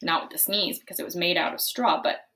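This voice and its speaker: A woman's voice: fairly low pitch, steady tone, a little fast, sharp tone, slight background noise. A woman reading a picture book aloud, stepping out in a conversational way to comment and put questions to her listeners. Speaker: storybook reader.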